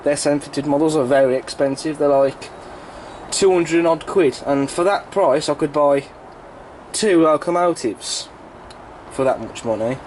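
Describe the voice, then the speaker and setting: Croaky British man with a cold, higher-pitched. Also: unhurried, darkish tone, a little hiss or hum, low-quality recording. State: has a cold, sore throat. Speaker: model railway video reviewer. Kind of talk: casual unboxing review to camera.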